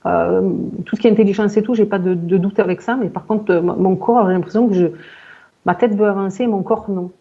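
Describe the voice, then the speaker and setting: A woman in her forties giving a halting personal account in conversation, searching for words and often repeating herself. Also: medium pitch, steady tone, mellow, dull audio. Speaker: client in a hypnosis session interview.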